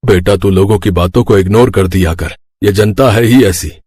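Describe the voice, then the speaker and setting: A man's voice: on the low side, normal speed, darkish tone, husky, no echo, studio quality. A man voicing a sensible older father-in-law who advises his family in a cartoon moral story. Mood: advising.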